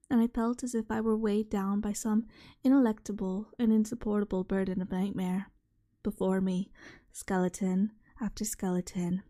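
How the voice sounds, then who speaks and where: A soft-spoken woman reading a horror short story in a measured ASMR style. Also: a little high, creaky. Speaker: reader, channel host.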